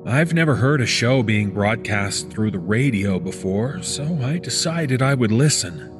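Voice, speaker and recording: A man reading a scripted first-person horror story in a measured, deliberate storytelling manner. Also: mid-range voice, animated. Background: background music.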